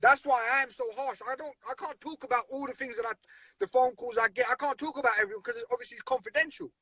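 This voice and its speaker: Male guest, blunt, earnest, high bright voice, dry close sound. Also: fast, dry recording. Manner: earnest, blunt, repeats himself. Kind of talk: casual conversation.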